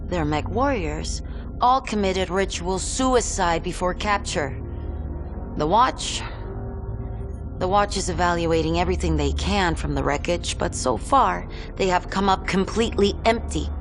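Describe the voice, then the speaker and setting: An actress playing a commanding military colonel in a dramatic game cutscene, stern and decisive, giving clipped, authoritative orders. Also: female voice, fairly low pitch, unhurried, over music. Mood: grave.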